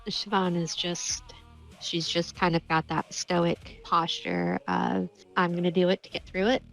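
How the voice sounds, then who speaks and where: A woman's voice: on the low side, flat delivery, measured pace, vocal fry, faint music behind, rough recording. A woman playing a tabletop game, casually explaining her character's posture and thoughts. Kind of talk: casual in-character roleplay description.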